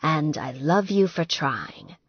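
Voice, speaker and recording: A woman voicing an affectionate, reserved man who teases gently, in audiobook dialogue. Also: sits low, big pitch swings, slow pace, slightly rough, dry recording, highs cut off, exaggerated voice. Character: affectionate, teasing athletic man, reserved boyfriend.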